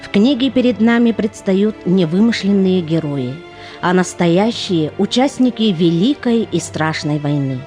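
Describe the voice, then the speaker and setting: A woman's voice: medium pitch, animated, a little slow, mellow, soft background music. A woman giving scripted, solemn, declamatory narration for an audio play.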